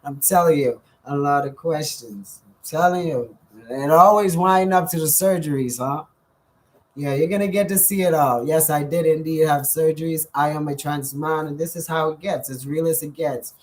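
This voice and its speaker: A Bahamian man vlogging casually to his viewers, effusive, full of thanks and shout-outs, with frequent ums. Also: on the high side, normal speed. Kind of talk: casual direct-to-camera vlog.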